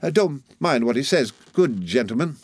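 Male reader as old mother, high-pitched, anxious, humble, pleading, storybook character. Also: expressive, moderate pace, husky, no echo. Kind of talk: audiobook character voice.

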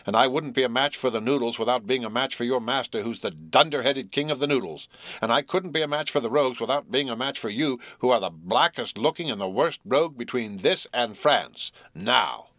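A man's voice: on the low side, moderate pace, dry recording, clean studio sound, lightly acted. A man reading aloud and voicing a furious, shrieking middle-aged wife who works herself into a rage. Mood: raging. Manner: screaming, theatrical rage.

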